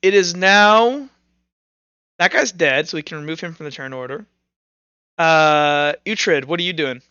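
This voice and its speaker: A man running a tabletop roleplaying game as game master, narrating and explaining rules informally, thinking out loud as he goes. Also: a little high, a little slow, clear, resonant tone, dry recording, highs cut off.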